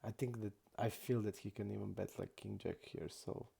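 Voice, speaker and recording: Deep gravelly man streaming. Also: fairly even intonation, dark tone. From Croatia. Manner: halting non-native English, many restarts and fillers. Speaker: poker streamer. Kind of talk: casual live-stream chat while playing poker.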